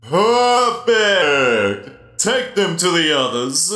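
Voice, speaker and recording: Performer as polite animatronic DJ, slow and theatrical, very animated intonation, echoey sound. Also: medium pitch, exaggerated voice. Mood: polite, upbeat. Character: friendly, flustered music-loving animatronic DJ robot. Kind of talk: comic dub acting. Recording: echoey, faint music behind.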